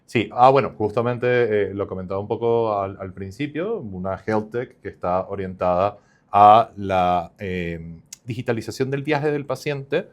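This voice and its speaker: Male founder guest, fairly low voice, upbeat and talkative, heavy on fillers. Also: measured pace. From not from Chile. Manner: upbeat, explains at length with many fillers. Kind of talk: podcast interview.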